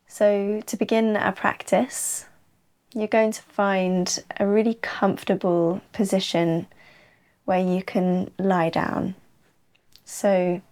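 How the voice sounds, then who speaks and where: A woman teaches yoga nidra as a guided rest. Her voice sits at a middle pitch and moves very slowly. She soothes as she goes, leaving long, unhurried pauses between short phrases. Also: gravelly, a little mellow. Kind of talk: slow guided relaxation narration.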